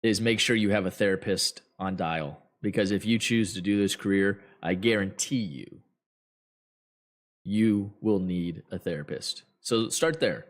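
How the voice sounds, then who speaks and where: A man hosting a trading show, giving blunt, rambling advice to viewers with many fillers, his voice getting hoarse. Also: deep voice, a little slow. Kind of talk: casual advice talk to viewers.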